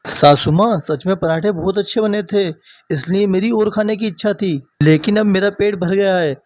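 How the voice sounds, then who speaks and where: A man's voice: high voice, normal speed, darkish tone, a little hiss or hum, lightly acted. A man voicing a polite, humble, struggling son-in-law, earnest in manner, in a cartoon moral story. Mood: embarrassed, polite.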